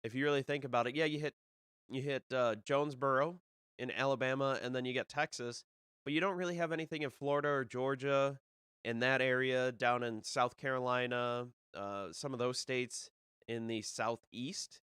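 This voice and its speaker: A man's voice: mid-range voice, fairly even intonation, moderate pace, fairly bright tone, clean tone, no echo, studio quality. Slightly nasal man hosting a show. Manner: rambling, with many fillers and restarts. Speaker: disc golf podcast host. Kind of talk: casual solo live-stream talk.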